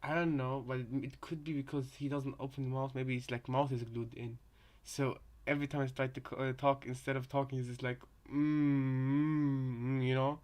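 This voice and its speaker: A man's voice: medium pitch, little pitch movement, moderate pace, darkish tone. A man giving casual reaction commentary on game voice lines, thinking aloud in a hesitant, rambling way.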